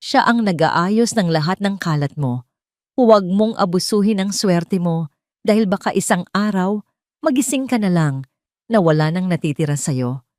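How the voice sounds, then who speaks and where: A woman's voice: on the low side, lively intonation, moderate pace, a little mellow, dry recording, studio quality, close to an everyday voice. A woman voicing a stern, wealthy older matriarch who speaks coldly and with authority. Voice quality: cold, clipped.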